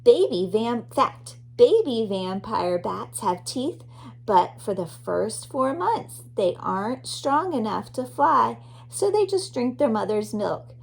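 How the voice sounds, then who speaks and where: A woman's voice: fairly high pitch, sing-song, slow, creaky, poor audio. A woman teacher reading a children's nonfiction book aloud expressively, addressing young listeners.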